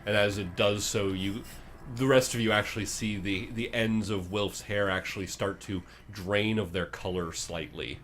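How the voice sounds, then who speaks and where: Low-voiced man narrating as game master. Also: steady tone, moderate pace, a bit bright, slight background noise. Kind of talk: improvised tabletop game narration.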